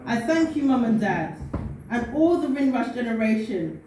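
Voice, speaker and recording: A woman reading spoken-word poetry as personal storytelling, in a heartfelt, deliberate manner. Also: on the high side, sing-song, warm tone. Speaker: poet. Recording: very echoey room, distant mic, faint noise.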